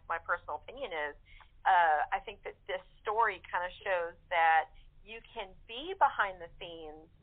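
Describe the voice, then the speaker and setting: A woman with a medium-pitched voice chats as an interviewed cast member. She comes through a tinny, narrow phone connection. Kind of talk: casual interview chat.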